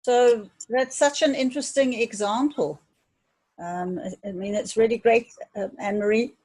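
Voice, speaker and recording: A woman's voice: on the high side, moderate pace, darkish tone, poor audio. A woman leading a workshop discussion who asks inquisitive follow-up questions.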